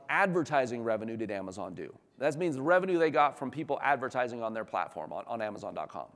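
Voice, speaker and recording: A man giving an informal business presentation as a company executive, enthusiastic, conversational and emphatic, with a slightly hoarse voice. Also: mid-range voice. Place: large room, talk before an audience. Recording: echoey hall with long reverb.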